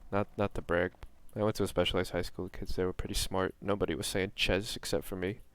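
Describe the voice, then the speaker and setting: A man's voice: deep voice, quick pace, clean tone, no echo. A young man from New York giving casual, rambling commentary while streaming a game, self-mocking and drifting off-topic. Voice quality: monotone.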